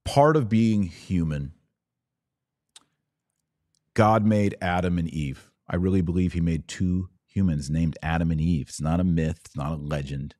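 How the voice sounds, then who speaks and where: Deep-voiced man hosting a podcast. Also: unhurried, dark tone, no echo, clean studio sound. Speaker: podcast host. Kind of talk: live podcast monologue, religious commentary.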